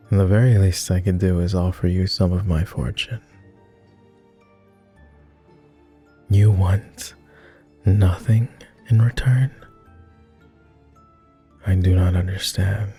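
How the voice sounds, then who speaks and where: A man playing a weary, courteous immortal vampire in intimate ASMR roleplay, speaking softly in formal, hesitant phrases. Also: low voice, slightly rough, full character voice. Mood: earnest, puzzled. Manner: formal, measured, hesitant with pauses. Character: weary, reserved, self-loathing male vampire, immortal, courteous.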